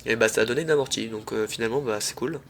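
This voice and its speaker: A young man with a head cold, casually commenting on his own tennis match in slangy, excitable talk to his viewers. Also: mid-range voice, rapid-fire, a little hiss or hum. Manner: slangy, excitable, talks to viewers as "les mecs".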